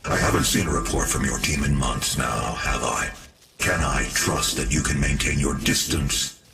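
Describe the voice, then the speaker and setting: An actor playing a cold, commanding cyborg chief scientist in a video game, measured and formal, with a gravelly, synthetic voice. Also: male voice, extremely low pitch, steady tone. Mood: stern, reproachful. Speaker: head of a research corporation. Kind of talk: video game cutscene acting. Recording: echoey, distant, loud music behind.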